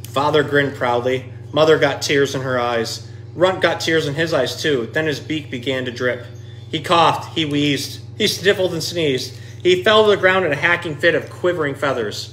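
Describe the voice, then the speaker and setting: A man reads a children's storybook aloud with expressive, dramatic delivery. His voice sits a little higher than most men's. Some noise is audible behind him. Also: moderate pace, low-quality recording. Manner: expressive, dramatic dialogue readings. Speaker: storyteller reading a picture book aloud. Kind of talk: animated children's storybook reading.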